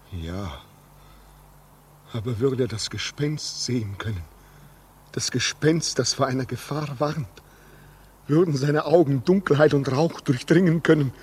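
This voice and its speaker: An actor playing a brooding, dutiful railway signalman in a 1950s German radio drama, speaking gravely and haltingly. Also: a little high, exaggerated voice. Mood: anguished, fearful. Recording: muffled-edged 1950s radio drama recording.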